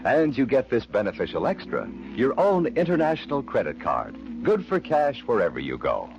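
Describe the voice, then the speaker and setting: A man's voice: medium pitch, huge pitch swings, normal speed, vocal fry, noisy background. A man reading a vintage radio advertisement as a commercial announcer. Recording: dull old radio recording, music behind.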